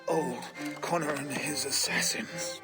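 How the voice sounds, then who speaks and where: An actor playing an accusing, dramatic enemy confronting a traitor in a video game. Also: male voice, on the high side, steady tone, slow, over loud music.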